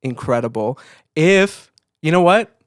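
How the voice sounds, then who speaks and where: A man's voice: fairly high pitch, expressive, a little slow, dry recording. Man in casual, dry-sounding podcast chat. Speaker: podcast co-host, musician.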